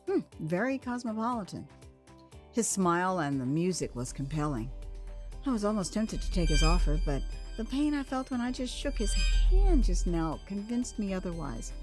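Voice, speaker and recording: Expressive American woman storyteller. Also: medium pitch. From Texas, United States. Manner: expressive, theatrical, savoring phrases. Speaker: author and narrator. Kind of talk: dramatized first-person memoir narration.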